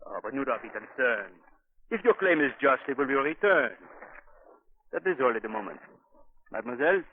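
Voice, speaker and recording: An actor playing an officious, menacing police inspector, speaking in a formal, clipped way in 1940s radio style. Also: male voice, medium pitch, sing-song, a little fast, close to an everyday voice. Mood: coolly official. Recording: tinny, noisy 1940s radio recording.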